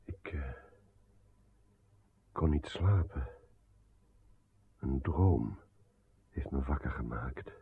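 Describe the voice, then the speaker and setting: A solemn king weighed down by troubles. His low male voice moves slowly in grave, declamatory phrases, radio-play style. The old recording is dull and strongly reverberant. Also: expressive, vocal fry, full character voice. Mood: troubled. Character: solemn, troubled ageing king haunted by dreams. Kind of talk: radio drama acting.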